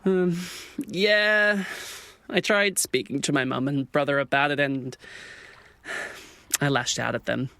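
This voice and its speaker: A man's voice: medium pitch, slow pace, vocal fry, pauses often, pushed and bright, clear, resonant tone, dry recording, exaggerated voice. A man voicing an insecure, self-deprecating swan in an audio drama, hesitant and awkward in speech. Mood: upset.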